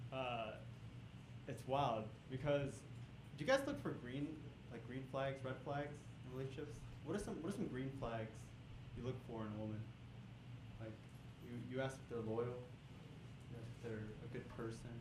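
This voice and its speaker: A young man works a comedy club stage with a handheld mic. He riles up the crowd, then slides into low, deadpan storytelling. The sound rings around a roomy space with steady audience noise underneath. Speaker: stand-up comedian. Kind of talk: stand-up comedy set.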